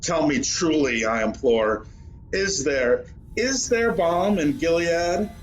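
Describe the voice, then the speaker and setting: A haunted, grieving man pleads in despair, played by a male reader. His voice is raised in pitch and theatrically impassioned, with deliberate pacing and faint music underneath. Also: expressive, poor audio. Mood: pleading.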